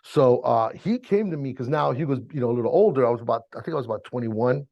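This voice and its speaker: A man's voice: mid-range voice, expressive, fast. A middle-aged American man being interviewed, telling stories casually in slangy, informal talk on a slightly dull mic. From Miami, raised there, born in Chile. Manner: slangy, informal, frequent "you know" and "bro". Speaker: interview guest, music engineer. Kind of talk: casual storytelling.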